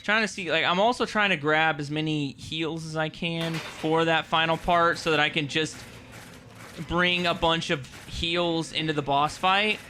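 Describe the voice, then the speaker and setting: A young man streams a horror game in casual, joking commentary, muttering to himself and swearing at the controls. His voice is bright and fairly high for a man. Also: fairly even intonation, a little slow, slight background noise. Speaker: gaming streamer. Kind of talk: casual let's-play commentary.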